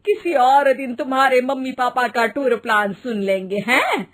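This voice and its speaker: A woman's voice: high voice, normal speed, fairly bright tone. A woman voicing a blunt, scolding elderly Indian mother-in-law in a comic cartoon story, exclaiming with sarcasm and drama. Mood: mocking.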